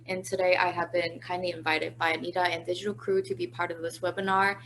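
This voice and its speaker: A woman's voice: lowish voice, little pitch movement, fast talker, a bit bright, a little hiss or hum, low-quality recording. A woman appearing as a guest panelist on a conversational webinar from home, polite and articulate with occasional fillers. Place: at home, indoors.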